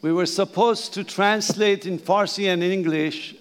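Older Persian-accented pastor, high voice. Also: male voice, steady tone, clear, resonant tone. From Iran. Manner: hesitant, halting, repeats phrases. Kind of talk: bilingual sermon. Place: church sanctuary. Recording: reverberant church hall.

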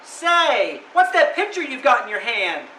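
Casting: keen, courteous teen boy for radio comedy, very high boyish squeak, recorded at a distance in an echoey room. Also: sing-song, unhurried, sharp tone, a little hiss or hum, exaggerated voice. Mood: eager, boyish. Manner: earnest, boyish, exclamatory. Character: eager, polite, squeaky teenage schoolboy. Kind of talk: old-time radio comedy acting.

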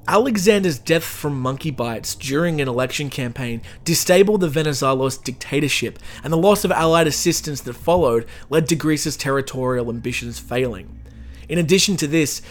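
A man hosting his own podcast, reading a history story and then rambling and joking at himself in a casual sign-off. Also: medium pitch. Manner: self-mocking, rambling, jokey. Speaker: podcast host.

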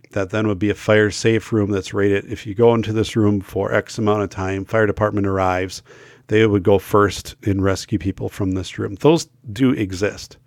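A man's voice: lowish voice, vocal fry, no echo, studio quality. A middle-aged man hosting a school safety talk show, losing his voice and feeling unwell, speaking emphatically with many fillers and restarts. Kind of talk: casual talk to camera.

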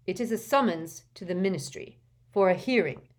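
A woman voicing a formal male government official in an audiobook, polite, measured and firm. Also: fairly low pitch, expressive. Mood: stern. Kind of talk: audiobook character voice.

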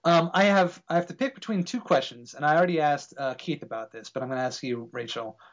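Guy around 30 hosting a podcast, chill and sweary, kinda higher voice, muffled lo-fi sound. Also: fast talker. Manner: laid-back, swears and laughs often. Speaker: podcast host. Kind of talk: casual comedic chat. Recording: dull lo-fi podcast audio.

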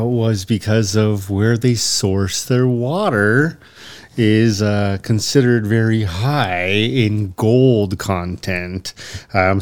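Man, podcast co-host, casual chat, slow and measured, lowish voice, dry jokes. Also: darkish tone, smooth. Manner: measured, with dry joking asides.

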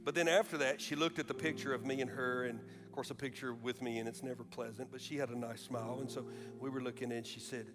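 A pastor from Alabama delivers a sermon in a mid-pitched male voice. He slows down hard to press his points, then speeds up when he tells a story. Instrumental music plays clearly behind him. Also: steady tone. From Alabama, USA. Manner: shifts between slow emphatic preaching and quick storytelling. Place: church sanctuary.

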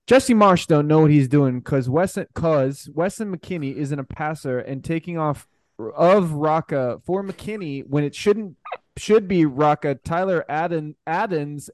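A man's voice: on the high side, dry recording. A man co-hosting a casual podcast, chatting and reading fan posts aloud. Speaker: podcast co-host.

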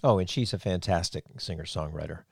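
A man's voice: low voice, a little fast, vocal fry, husky, no room sound, clean studio sound. A man hosting an interview, talking at length in long run-on thoughts and complimenting his guest. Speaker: podcast host, former sound engineer. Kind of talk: casual interview chat.

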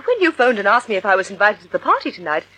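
Elegant radio heroine: young, lively, swooping intonation, breathy edge, 1940s drama style. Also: female voice, medium pitch, very fast, rough recording. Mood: bright, pleased. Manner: chirpy, animated.

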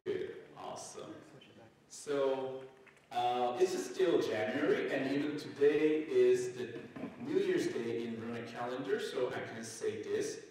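A man with a Korean accent gives a friendly, conversational sermon at a mid-range pitch. He leads the congregation in call-and-response and speaks to them casually. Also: takes it slowly, a little mellow, slight background noise, poor audio. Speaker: site pastor. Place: church sanctuary.

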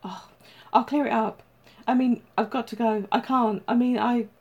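A woman voicing a troubled, guarded male police detective in an audiobook, terse and halting. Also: a little high, vocal fry, breathy edge, muffled recording. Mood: flustered.

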